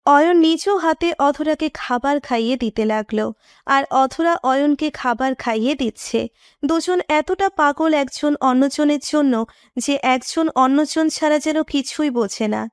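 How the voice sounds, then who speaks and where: A woman's voice: high voice, a little slow, no room sound, clean studio sound. A woman reading scripted narration of a romantic story.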